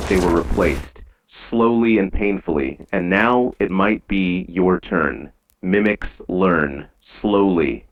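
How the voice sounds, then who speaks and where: Man playing a very deep, ominous radio announcer. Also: background noise. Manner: measured, solemn, ominous. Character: grave male emergency radio announcer of an eerie dystopia. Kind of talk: scripted analog horror broadcast narration. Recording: dull, lo-fi old radio sound.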